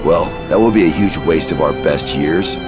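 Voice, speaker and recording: An actor playing a gruff, cynical man in an anime game, talking bluntly and casually with dry sarcasm. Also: very deep voice, normal speed. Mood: guarded. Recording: music behind the voice.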